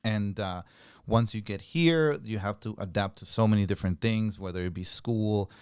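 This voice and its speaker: A young man from Peru, a native Spanish speaker, telling his story in a relaxed, conversational way as a podcast guest. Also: lowish voice, moderate pace, clear, resonant tone, dry recording, studio quality. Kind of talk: casual interview storytelling.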